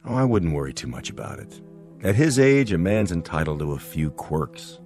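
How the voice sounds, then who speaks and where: A polite probing doctor in a radio play, really low voice, moves around a lot. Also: male voice, big pitch swings, normal speed, a little mellow, soft background music. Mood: reassuring. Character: measured, reasonable male doctor counselling an elderly patient. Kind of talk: radio drama acting.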